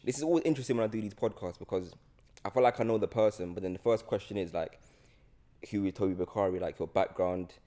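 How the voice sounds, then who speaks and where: Low-voiced young man hosting. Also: fast, warm tone. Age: young adult. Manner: joking, teasing. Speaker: podcast host. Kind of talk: casual podcast chat. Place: indoor studio room with sofa. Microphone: podcast mic on boom arm.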